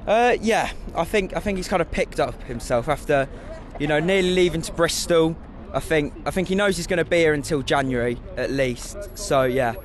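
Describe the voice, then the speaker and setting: A man's voice: on the high side, expressive, normal speed. A young male football fan with an English accent, giving brief, slightly hesitant answers in a casual outdoor interview. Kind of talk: casual chat. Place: stadium car park. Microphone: handheld phone held out.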